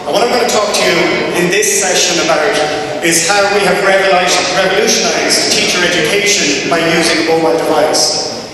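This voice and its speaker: A man's voice: high-pitched, fairly quick, crowd noise. A man with an Irish accent, a native Irish Gaelic speaker, lecturing to an audience in an explanatory way, often repeating words and restarting phrases. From west of Ireland. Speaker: university lecturer. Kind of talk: conference presentation to an audience. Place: large reverberant presentation room. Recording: very echoey hall, distant mic, faint noise.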